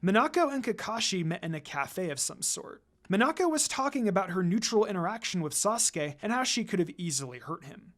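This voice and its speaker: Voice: mid-range voice, big pitch swings, brisk, few pauses, a bit bright. A narrator reading a fan fiction story aloud as scripted narration.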